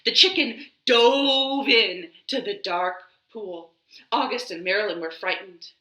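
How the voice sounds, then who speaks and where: A woman hosting a children's storytime, reading aloud expressively with dramatic pauses and drawn-out words. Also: medium pitch, bright, forceful tone. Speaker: library storytime host. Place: living room. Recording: echoey living room.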